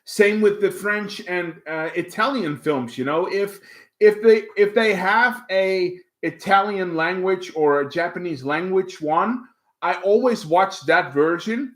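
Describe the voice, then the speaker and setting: A man's voice: sits high, measured pace, fairly bright tone. A man with a Dutch accent, a native Dutch speaker, hosting a livestream and chatting casually with viewers, rambling with lots of fillers and restarts. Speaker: livestream host. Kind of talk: casual livestream chat, reading viewer comments.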